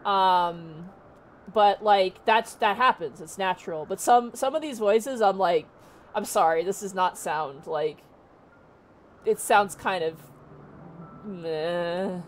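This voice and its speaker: A woman with a naturally low voice, rambling casually with lots of fillers while streaming. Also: takes it slowly, slight background noise. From Canada. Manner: rambling, many fillers and restarts. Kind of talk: casual chat on a livestream.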